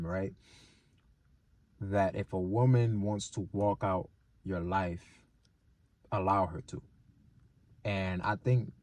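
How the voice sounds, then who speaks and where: A man with a low, mellow voice talks casually to his viewers as he shares a story and advice. He takes his time, repeating points and tacking short questions onto them. The sound is close and dry. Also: no echo. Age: adult, older than twenty-seven. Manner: punctuates points with rhetorical tag questions and repeats phrases. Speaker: video channel host giving relationship advice.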